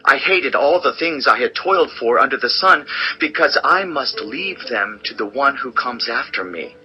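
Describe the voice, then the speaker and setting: A man's voice: medium pitch, animated, sharp tone, faint music behind, poor audio. An actor playing a world-weary, wise old king in a solemn, theatrical dramatized scripture reading. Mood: bitter, despairing. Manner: solemn, deliberate, theatrical delivery. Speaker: audio Bible narrator and actor.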